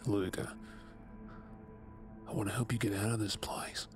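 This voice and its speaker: An Australian man acting a tormented, guilt-ridden captive mutant in an audio drama, speaking in a husky, breathy, subdued and halting way. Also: fairly low pitch, steady tone, music in the background, close to an everyday voice. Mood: remorseful, pleading.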